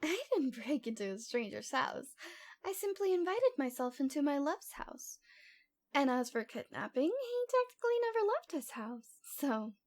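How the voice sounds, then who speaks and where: A woman's voice: high-pitched, very animated, normal speed, darkish tone. A woman playing a cute, lovesick young stalker girl in an ASMR roleplay, with a coy, pouty, dramatic delivery. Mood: self-righteous, defensive.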